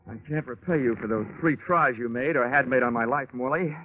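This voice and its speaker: An American actor playing a wry, sardonic tough investigator in 1940s radio detective style, with deadpan quips. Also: male voice, medium pitch, a little fast, muffled recording, a little hiss or hum, close to an everyday voice. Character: wry, wisecracking tough male private investigator. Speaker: radio drama lead actor. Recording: band-limited 1940s radio recording with music.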